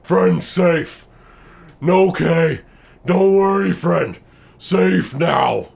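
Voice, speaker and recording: High-pitched, simple, cheerful brute. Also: male voice, very slow, gravelly, a little mellow, exaggerated voice. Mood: reassuring, pleased. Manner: broken, childlike short phrases.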